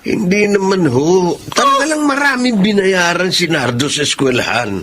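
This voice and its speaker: High-voiced wheedling middle-aged father. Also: sing-song, moderate pace, fairly bright tone, slight background noise. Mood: pleading. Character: pleading, debt-ridden middle-aged father, evasive with his mother. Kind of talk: radio drama acting.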